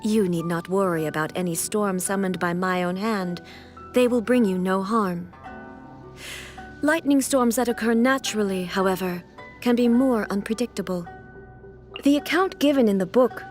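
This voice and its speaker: A woman playing a stern, solemn warrior ruler in a video game, speaking in formal, measured, stately phrases. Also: mid-range voice, faint music behind, natural voice. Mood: solemn, reflective. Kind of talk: scripted video game character voice lines.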